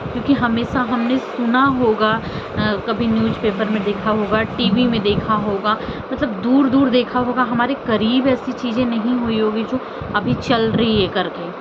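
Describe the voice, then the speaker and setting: A woman vlogger giving casual commentary on family drama, rambling and repeating phrases for emphasis. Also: a little high. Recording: muffled audio with loud outdoor traffic noise.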